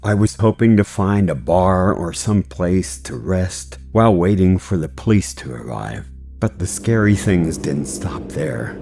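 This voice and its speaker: Deep, gravelly male horror story narrator. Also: expressive, measured pace, a little mellow. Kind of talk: scripted first-person scary story narration.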